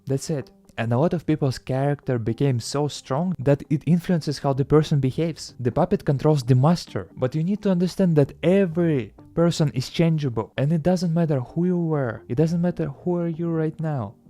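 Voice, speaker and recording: A man's voice: a little high, normal speed, hardly any pauses, mellow, faint music behind. A young man with a Russian accent giving an earnest motivational talk to camera, with slightly non-native phrasing. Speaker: self-improvement YouTuber.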